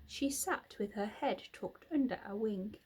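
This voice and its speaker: A woman's voice: mid-range voice, unhurried, darkish tone, slight background noise. A woman narrating a children's book expressively, like a teacher reading aloud. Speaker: reader, teacher.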